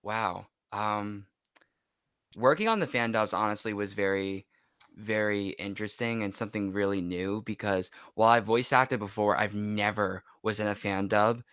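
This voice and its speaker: A man's voice: fairly low pitch, steady tone, normal speed, fairly bright tone, smooth, no echo, studio quality. An American man chatting casually as a podcast guest and telling anecdotes. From United States.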